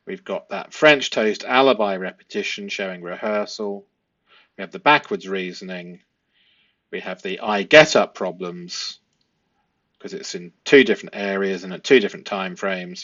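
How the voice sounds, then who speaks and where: A man giving analytical commentary to viewers as a host, speaking emphatically and deliberately, often with rhetorical tag questions. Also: lowish voice, a bit bright, highs cut off. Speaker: host analysing a police interview.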